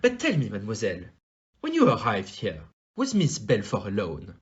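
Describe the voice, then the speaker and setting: Man as fussy French-accented gentleman detective, audio play. Theatrical, courteous, wildly swinging intonation, slightly muffled recording. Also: lowish voice, huge pitch swings, normal speed. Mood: measured, questioning. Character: fussy, theatrical middle-aged male French-speaking detective.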